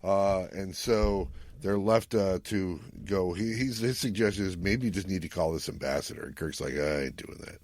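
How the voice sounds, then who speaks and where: A man co-hosting a podcast, retelling a story in casual chat with animated, acted-out quotes. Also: deep voice, normal speed, few pauses. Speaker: podcast co-host. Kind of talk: casual recap chat about retro TV sci-fi.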